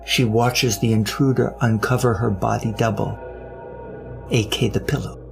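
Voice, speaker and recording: Male narrator for audio drama: gravel in the voice, mid-range, suspenseful, deliberate, slightly wry. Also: mid-range voice, steady tone, faint music behind.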